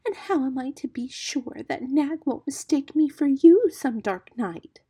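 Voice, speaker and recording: A woman's voice: high voice, a little slow, warm tone, voice pushed up. A woman voicing a timid, broken-hearted little male muskrat in a storybook, whimpering, pleading and weepy. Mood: sorrowful.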